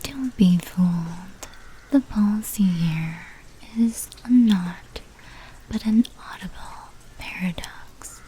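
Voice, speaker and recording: A woman voicing a sly female vampire mafia manager in an ASMR roleplay, mostly in a breathy whisper with slow, theatrical delivery. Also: lowish voice, mellow, slight background noise, poor audio.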